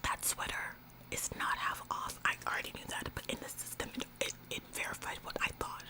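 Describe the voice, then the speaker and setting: A woman's voice: fairly quick, lightly acted, rough recording. A woman in a whispered ASMR roleplay, playing a bossy, officious store employee who repeats phrases for emphasis. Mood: conspiratorial. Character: bossy, overzealous female retail store employee, falsely apologetic. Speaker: ASMR performer.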